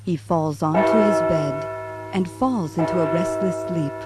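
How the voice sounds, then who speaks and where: A narrator reading a scripted radio drama in a measured, solemn storytelling manner. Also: mid-range voice, loud music behind the voice. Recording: noisy old live radio recording.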